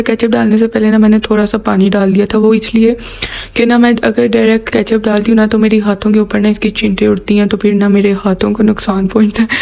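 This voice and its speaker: A woman's voice: mid-range voice, creaky, warm tone. A young woman, chatting casually through a home cooking voice-over. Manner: chatty, lots of filler "na", giggles. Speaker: cooking vlogger.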